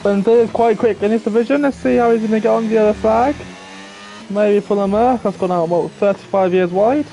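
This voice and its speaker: High-voiced man commentating sim racing. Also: fairly quick, gravelly, mellow, slight background noise, poor audio. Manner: chatty, thinking aloud, chatting with viewers. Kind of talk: live esports race commentary.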